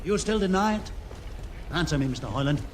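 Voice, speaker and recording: An actor playing a commanding, learned rebel leader on a starship, measured and superior, in an old-time radio drama. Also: male voice, fairly high pitch, expressive, a little hiss or hum, lightly acted. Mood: grand, awed. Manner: theatrical, measured, superior and persuasive. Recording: old radio broadcast with loud music.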